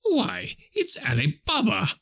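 A man's voice: very high-pitched, huge pitch swings, slow pace, creaky, a bit bright, breathy edge, voice pushed up, exaggerated voice. A man voicing an old miser in a classic storybook, exclaiming between laughter and tears as he relives his boyhood. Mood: ecstatic, tearful.

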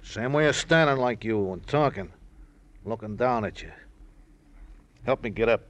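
An actor playing a proud, bitter, aging ex-gangster in an old radio crime drama, brooding in repeated fragments. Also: male voice, mid-range voice, moderate pace, highs cut off, natural voice. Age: older.

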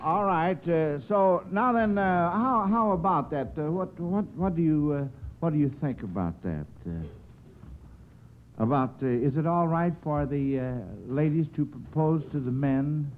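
A man's voice: on the high side, lively intonation, a little slow, narrow, tinny audio. A man hosting an old-time radio quiz show, chatty and folksy, often stretching out his phrases as he goes. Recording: scratchy, noisy, thin old radio recording.

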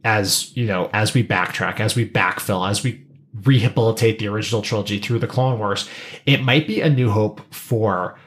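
A man's voice: lowish voice, normal speed, fairly bright tone. A man hosting a podcast, talking casually about pop culture and thinking aloud in a rambling way. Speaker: podcast host.

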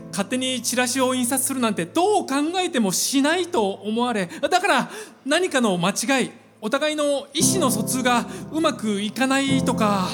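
A woman acting a loyal, humble young male office worker in a live radio drama, imploring in slangy, clipped politeness. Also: high voice.

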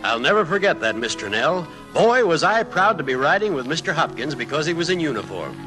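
A man's voice: a little high, sing-song, fairly quick, poor audio. A man playing a polite, admiring, slightly awkward fellow in a 1940s radio drama. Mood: admiring, hesitant. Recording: vintage radio recording, music behind.

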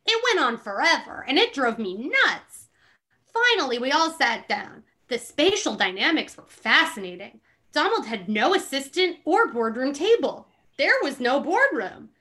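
Bright-voiced woman reading dramatically. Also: high voice, big pitch swings, normal speed. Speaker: podcast host reading a book aloud. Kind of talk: dramatic reading with casual asides.

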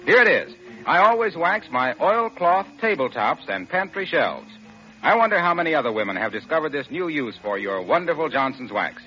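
An American man working as a 1940s radio announcer, delivering folksy, polished sponsor pitches and introductions. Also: big pitch swings, normal speed, sharp tone, soft background music. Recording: dull, lo-fi 1940s radio recording.

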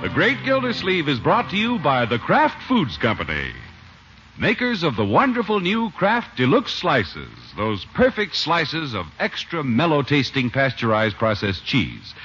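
Vintage male announcer for radio sponsor spots, lively forceful delivery, muffled old broadcast sound. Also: on the high side, unhurried, slightly rough, soft background music. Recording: dull, noisy old radio recording.

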